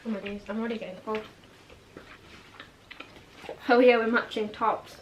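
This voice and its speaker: A woman's voice: a little high, steady tone, moderate pace, dull audio, background noise. A young woman, chatting casually while eating and chiming in with short, laid-back remarks. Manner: laid-back, brief interjections. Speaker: vlogger, younger sister.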